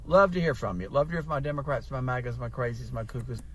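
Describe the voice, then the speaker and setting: A man's voice: mid-range voice, fast, a bit bright. A man speaking straight to his viewers as a political commentator, pointed and challenging. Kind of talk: direct address to viewers.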